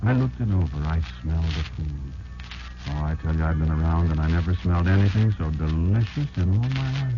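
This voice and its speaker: A man playing a wary old insect elder in an old-time radio drama, telling a child grim survival tales in a confiding, folksy way with deliberate pauses. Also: a bass voice, expressive. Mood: reminiscing, uneasy. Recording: dull lo-fi old radio recording. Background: prominent music.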